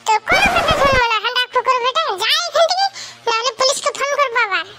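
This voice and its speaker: A woman playing a bossy young woman in a comedy cartoon, with a childlike squeaky voice, an exaggerated scolding manner. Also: lively intonation, racing, bright, forceful tone, no room sound, soft background music, heavily acted. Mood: angry, scolding. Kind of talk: Bangla comedy cartoon dubbing. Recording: faint background noise.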